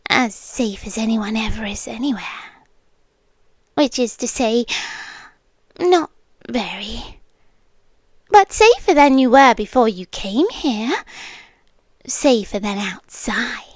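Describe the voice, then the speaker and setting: An age-old female monster with a possessive, teasing streak played by a woman in an ASMR roleplay. Her British voice is high and hushed, often slipping toward a whisper. She takes her time with every phrase, close to the mic in a very dry recording. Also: dry recording, exaggerated voice. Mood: teasing, amused. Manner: slow, hushed, deliberate, often dropping into whispers. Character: ancient female predator fae, possessive, teasing yandere girlfriend. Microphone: close microphone, dry.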